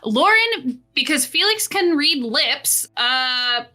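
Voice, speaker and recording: Bright-voiced woman running a tabletop game. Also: high-pitched, expressive, soft background music. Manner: casual, swearing, quick asides. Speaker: game master.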